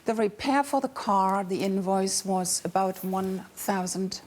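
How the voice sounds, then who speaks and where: Carefully spoken woman, medium-pitched voice. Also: a little slow, darkish tone. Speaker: litigant on a TV court show. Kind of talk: formal answers to a judge.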